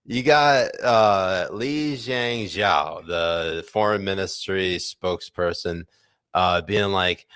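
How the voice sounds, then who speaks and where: A man's voice: sits low, takes it slowly, a bit bright, clear, resonant tone, no echo, studio quality. A man in his thirties co-hosting a podcast, chatting casually, swearing freely and laughing often as he rambles through stories. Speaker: podcast co-host. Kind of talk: casual chat.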